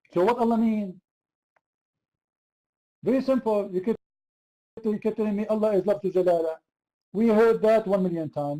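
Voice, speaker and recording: A man's voice: high voice, mellow, rough recording. A man hosting a heated online debate, pressing the same question again and again. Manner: insistent, repeats the same question. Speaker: debate host.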